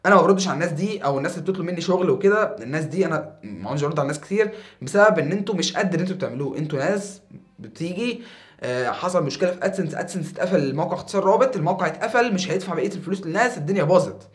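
A young man in his twenties with an Egyptian Arabic accent, talking casually to camera as a YouTuber in a small foam-paneled room, animated and a bit tired. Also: fairly high pitch, rapid-fire. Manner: animated, gestures a lot with his hands.

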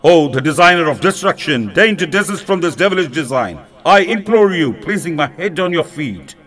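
A man's voice: a little high, expressive, moderate pace, a bit bright. A man voicing a grief-stricken, wrathful old king, speaking in impassioned, declamatory pleas. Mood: pleading.

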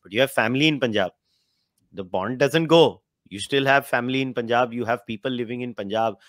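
A man's voice: medium pitch, brisk, fairly bright tone, no room sound. A young man with an Indian accent, a podcast host who states blunt opinions and reads book passages aloud, mixing English and Hindi. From Mumbai, India. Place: room with bookshelves. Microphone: headphones and studio mic.

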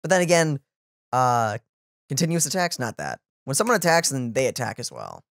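A man's voice: mid-range voice, huge pitch swings, moderate pace, gravelly, fairly bright tone, no room sound. A man in his twenties co-hosting a reaction video, chatting casually and sometimes searching for his words, close to the microphone. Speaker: reaction channel co-host. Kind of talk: casual chat. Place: small home room, indoors. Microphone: boom-arm studio microphone, close.

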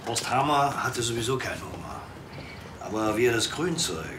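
A man's voice: medium pitch, animated, moderate pace, very noisy, close to an everyday voice. An actor playing an earnest male crime writer, formal, hesitant and effusive, in a TV comedy. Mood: solemn. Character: earnest, self-important male crime novelist.

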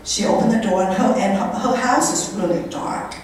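A woman's voice: medium pitch, moderate pace. A middle-aged woman delivers a storytelling monologue in a conversational way, voicing bits of dialogue as she goes. She speaks into a handheld mic in a function room, heard from a distance with heavy echo and faint noise. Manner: conversational, animated retelling with quoted dialogue. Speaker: guest speaker at a charity event. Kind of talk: storytelling monologue to a live audience. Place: indoor function room at a country club. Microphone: handheld microphone, picked up by a far camera.

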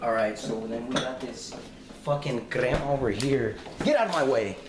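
A man's voice: mid-range voice, animated, normal speed, echoey room, heavy background noise. A young man filming himself cooking in a home kitchen, explaining casually and swearing with cocky confidence. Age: young adult. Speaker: home cook vlogger. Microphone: handheld camera nearby.

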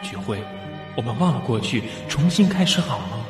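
A man's voice: medium pitch, very animated, normal speed, natural voice. An actor in a radio drama playing a protective, anguished husband who soothes his wife. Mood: earnest, pleading. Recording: echoey hall reverb, music behind.

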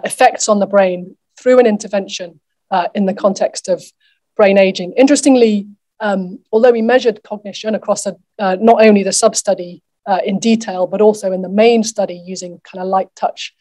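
A middle-aged woman delivers an academic keynote lecture in a mid-pitched voice. Her delivery is measured and explanatory, as a researcher presenting to a conference. She speaks at a lectern in a lecture theatre. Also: warm tone, poor audio.

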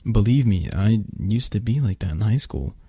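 A low-voiced, protective young dragon boyfriend acted by a man murmurs close and dry in a romantic ASMR roleplay. He is tender and teasing, with a husky, breathy tone and lively rises and falls as he coaxes. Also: moderate pace, dry recording. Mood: caring, gently scolding.

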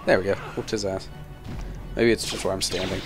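Young male gamer, deeper side, casual and foul-mouthed, wry running commentary, clear music behind. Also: steady tone, brisk. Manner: sarcastic, profane running commentary. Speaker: gaming video host.

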